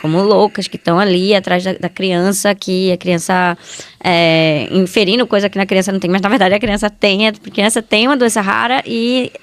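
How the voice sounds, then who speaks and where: A woman's voice: mid-range voice, steady tone, moderate pace. A woman with a Brazilian accent, a medical geneticist giving conversational answers as an interview guest.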